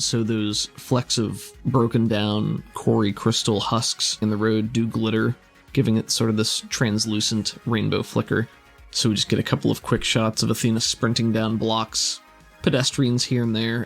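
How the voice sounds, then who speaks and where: A man's voice: fairly low pitch, little pitch movement, normal speed, gravelly, slightly rough, soft background music. A man working as game master, improvising descriptive narration that sets scenes in a tabletop game.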